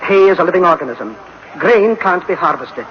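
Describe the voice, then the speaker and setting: A muffled old radio recording with faint noise holds a worried male scientist. His voice is a little high and his phrasing clipped and dramatic, burdened with guilt and growing desperation. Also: lively intonation, moderate pace. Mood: grave, worried. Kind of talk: dramatic sci-fi radio acting.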